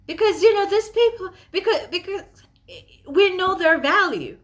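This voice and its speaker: Female motivational speaker, high register, emphatic and conversational. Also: expressive, normal speed. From Kenya. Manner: emphatic and conversational, asks rhetorical questions. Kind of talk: motivational talk addressed to listeners.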